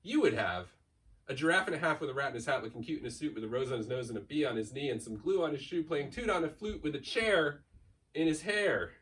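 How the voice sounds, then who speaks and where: Male storyteller, fairly high voice, theatrical picture-book delivery for kids, recorded a little off the mic. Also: expressive, fast talker, fairly bright tone, distant mic. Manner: theatrical, stretches key words and races through cumulative lists. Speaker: school staff member reading a children's book aloud. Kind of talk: playful animated children's picture book read-aloud.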